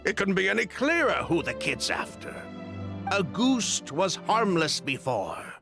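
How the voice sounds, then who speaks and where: An actor playing an evasive, self-justifying criminal in dramatic video game dialogue, with wry, sighing delivery. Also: male voice, sits high, very animated, measured pace, vocal fry, music in the background, full character voice. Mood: bitter. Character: evasive, defensive criminal man confessing past wrongdoing.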